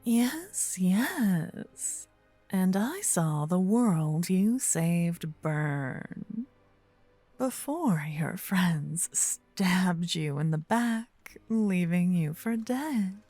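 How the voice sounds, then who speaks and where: A woman playing a possessive demon queen spirit in a fantasy ASMR roleplay, breathy, smug, teasing and regal. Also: fairly low pitch, expressive, very slow, warm tone, dry recording, faint music behind, heavily acted. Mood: smug, amused.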